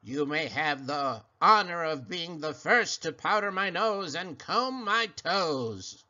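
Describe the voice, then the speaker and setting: A man voicing a small, bossy female sand creature who cackles and speaks slowly and commandingly. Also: on the high side, lively intonation, sharp tone, heavily acted. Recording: dull lo-fi sound.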